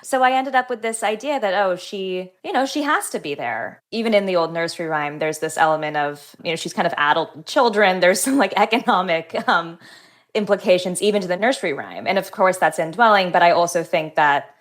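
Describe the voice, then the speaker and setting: A woman novelist talking in an interview, thoughtful, articulate and measured. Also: lowish voice. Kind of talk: book reading and conversational interview answers.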